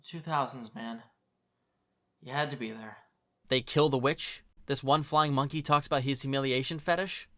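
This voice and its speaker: A man's voice: medium pitch, steady tone, moderate pace, fairly bright tone. A man narrating a scripted film review in a casual, joking, sarcastic and colloquial way. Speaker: video host and film reviewer.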